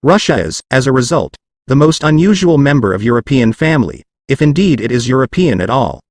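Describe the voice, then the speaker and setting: Man reading aloud with lively pitch. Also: a little fast, dry recording, studio quality. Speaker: test-prep reader. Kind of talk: reading practice passages aloud.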